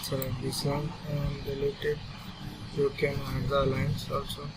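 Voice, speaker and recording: Monotone man teaching software over loud noise. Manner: halting, repetitive, thinking aloud in short phrases. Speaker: CAD software instructor. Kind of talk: screen-recorded software tutorial, step-by-step instruction. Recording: very noisy recording, loud background rumble.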